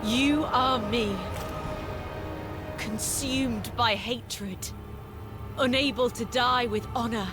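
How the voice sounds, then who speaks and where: A woman playing a proud, stern, honor-bound warrior in a fantasy video game, speaking gravely and formally. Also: fairly high pitch, fairly even intonation, slow, pauses often, over music.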